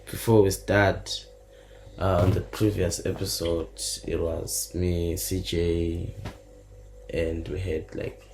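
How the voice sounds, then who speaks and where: Deep gravelly-voiced podcast host. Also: male voice, darkish tone, echoey room, slight background noise, rough recording. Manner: laid-back, mixes Zulu slang into English. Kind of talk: casual podcast chat.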